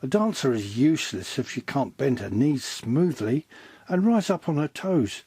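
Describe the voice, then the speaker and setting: A man's voice: mid-range voice, very animated, moderate pace, mellow, breathy edge, no room sound, exaggerated voice. A man voicing a patient but exacting female ballet teacher in a children's storybook, instructing firmly and encouragingly. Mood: instructive. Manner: instructive, precise, commanding.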